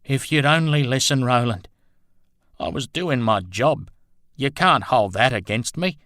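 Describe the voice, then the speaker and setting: A man's voice: mid-range voice, expressive, unhurried, fairly bright tone, no echo, studio quality, voice pushed up. A man voicing a defiant, resentful man who protests and threatens in a narrated story. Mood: protesting. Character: defiant bruised man pleading his case, resentful, menacing.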